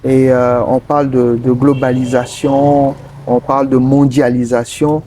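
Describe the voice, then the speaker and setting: A man from Togo, answering interview questions earnestly and pausing often mid-sentence to find his words. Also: mid-range voice, little pitch movement, mellow, slight background noise, rough recording. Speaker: interview guest, politician.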